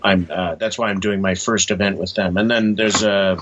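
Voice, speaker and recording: A man answering casually as an interview guest, hesitant, low-key and matter-of-fact. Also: steady tone, normal speed, a bit bright, muffled recording. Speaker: interview guest, comics creator.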